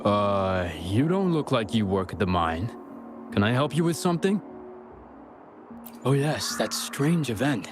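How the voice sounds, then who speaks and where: A man voicing a polite, studious investigator in a video game, courteous and measured in his wording. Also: medium pitch, sing-song. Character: polite, studious male investigator at a mining town. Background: game music.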